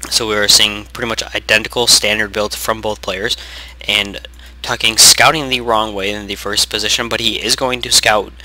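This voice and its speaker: A young man doing casual play-by-play commentary on a strategy game, losing his voice from sickness, rambling and correcting himself, clearing his throat often. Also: fairly low pitch, pushed and bright, poor audio. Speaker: game caster.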